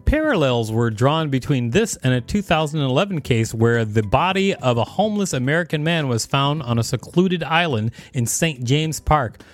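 Guy reading an article out loud on a podcast, bouncy and pitchy, jokes around, faint music behind. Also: expressive, normal speed, hardly any pauses. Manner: playful, jokey asides between reading. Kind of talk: casual read-aloud with asides.

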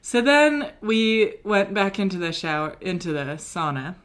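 A woman's voice: mid-range voice, sing-song, unhurried, a bit bright. A young British woman co-hosting a podcast, telling a story in a casual, rambling way with many ums and likes. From Britain. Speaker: podcast co-host. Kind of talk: casual chat.

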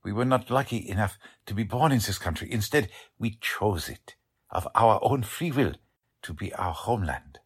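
An actor playing an anxious, dignified immigrant father with a German accent and a halting, earnest manner, in a 1940s radio drama. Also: fairly low pitch, expressive, husky. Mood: earnest, indignant.

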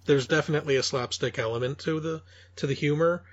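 A man co-hosting a podcast in casual conversation, haltingly repeating words as he searches for his phrasing. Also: a little high. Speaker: podcast co-host. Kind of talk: casual chat reviewing audio dramas. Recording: dull, lo-fi voice recording.

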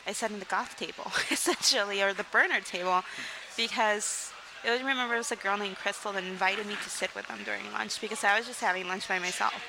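Young woman from Mexico, interview guest, open and rambling, steady mid-range pitch, noisy recording. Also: fast, vocal fry. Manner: candid, rambling, frequent "like" and "um", laughs between sentences. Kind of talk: casual interview storytelling.

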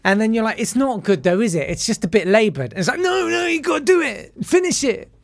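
A fairly high-pitched man talks to his viewers in a loose, rambling way. He mocks himself and trips over his words. His voice sounds close and dry, with no room behind it. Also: big pitch swings, brisk, vocal fry, dry recording. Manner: self-deprecating, rambling with restarts and fillers. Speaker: YouTube host. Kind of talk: casual rambling monologue.

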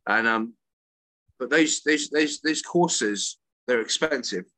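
Bright, excitable man telling classroom stories. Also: mid-range voice, takes it slowly, no echo. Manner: animated storyteller, repeats words when starting sentences. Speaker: podcast co-host and English teacher. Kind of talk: casual chat. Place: works in Italy.

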